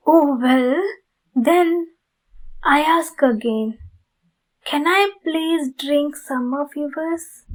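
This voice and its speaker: Woman voicing a slow, high-voiced polite vampire lady. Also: rough recording. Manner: courteous, formal, earnest, halting phrasing. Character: polite, pleading female vampire in need of blood. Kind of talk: ASMR roleplay addressed to the listener.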